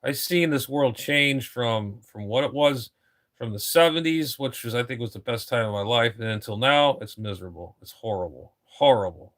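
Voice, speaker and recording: A man's voice: medium pitch, normal speed, fairly bright tone. A man in his fifties, a ham radio operator chatting casually on air, rambling and repeating himself, with casual swearing. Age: 50s.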